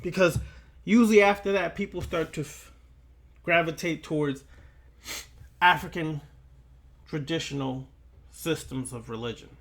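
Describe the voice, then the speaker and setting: Guy doing an occult lecture, really slow, lots of pauses, kinda higher voice, repeats stuff to drive it home. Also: a bit bright. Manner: deliberate, halting phrases with long pauses; emphatic repetition of key lines. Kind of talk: esoteric lecture, preaching to listeners.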